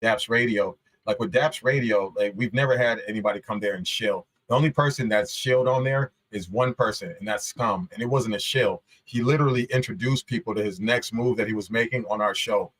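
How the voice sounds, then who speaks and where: A man's voice: lowish voice, a little fast, few pauses, fairly bright tone, rough recording. A man whose first language is Shona, a guest talking casually on a podcast, laid-back and full of slang.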